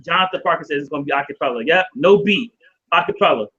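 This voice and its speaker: A man hosting a casual live video chat, rambling, laughing often and getting excited. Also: a little high, fast, a crowd in the background. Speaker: show host. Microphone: video call. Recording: dull lo-fi video call audio.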